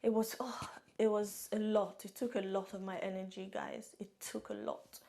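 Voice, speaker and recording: A Nigerian woman in her thirties tells her story straight to camera in a relaxed vlog. She talks in a chatty way, often laughs and goes back over her own words, and she is recorded on a camera-mounted mic. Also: mid-range voice, moderate pace, gravelly. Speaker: vlogger. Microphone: camera-mounted shotgun mic.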